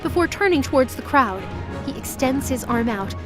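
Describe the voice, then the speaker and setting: A woman's voice: high-pitched, lively intonation, moderate pace, music in the background. A woman narrating a scripted audio drama with intense, vivid storytelling.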